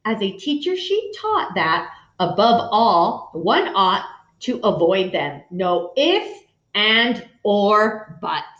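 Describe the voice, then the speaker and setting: A woman's voice: medium pitch, very animated, slow, fairly bright tone. A woman in her thirties reading a picture book aloud dramatically, speaking to young listeners. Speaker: children's story reader. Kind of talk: expressive picture-book read-aloud with asides. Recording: dull sound in echoey room.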